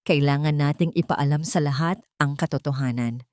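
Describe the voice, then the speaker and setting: A woman's voice: sits low, moderate pace, darkish tone, no echo, clean studio sound, natural voice. A woman voicing an earnest, resolute adult son protecting his mother in an audio drama. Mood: determined.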